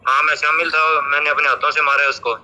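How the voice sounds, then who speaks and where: A man's voice: on the high side, little pitch movement, fast talker, sharp tone. A man giving interview answers on a phone call, assertive and unapologetic, often repeating his phrases.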